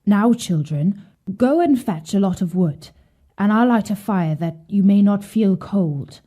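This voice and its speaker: A woman voicing a soft-hearted, guilt-ridden father in a children's storybook, mild and plain-spoken. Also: medium pitch, expressive, measured pace.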